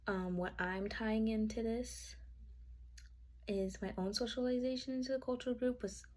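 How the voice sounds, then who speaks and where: A woman's voice: fairly high pitch, steady tone, moderate pace, a little mellow. A young woman whose first language is Spanish, giving an informal class presentation from her notes, casual with fillers and self-corrections. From United States. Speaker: student.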